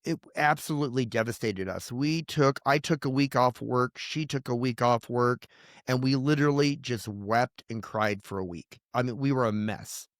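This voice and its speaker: A man's voice: medium pitch, no room sound, studio quality. A middle-aged man, a pastor, talking earnestly and emphatically about family and loss in a podcast conversation. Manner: earnest, emphatic, grows heated and indignant. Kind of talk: candid testimony in conversation.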